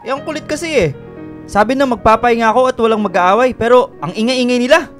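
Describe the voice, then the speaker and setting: An irritable, abusive stepfather in a radio drama. He talks very quickly in a gruff, defensive way, with soft music playing underneath. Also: extremely high pitch. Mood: defensive pleading. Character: cruel, irritable abusive stepfather making excuses.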